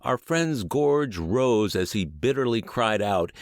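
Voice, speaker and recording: Low-voiced man narrating with lively intonation. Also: no echo, studio quality. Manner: earnest, measured, expressive reading. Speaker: podcast narrator reading a book aloud. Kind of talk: scripted audiobook narration.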